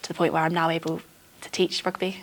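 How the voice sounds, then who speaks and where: A woman's voice: sits low, level tone, fast. A young British woman, a teacher, speaking casually and reflectively in conversation. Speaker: early-career PE and geography teacher.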